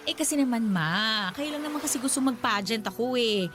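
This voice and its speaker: A woman's voice: on the high side, animated, fairly quick, over music, close to an everyday voice. A young woman acting a meek, weary daughter with a Filipino accent, pleading and whining in a radio drama. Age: young adult. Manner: pleading, whiny, deferential. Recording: clear background noise.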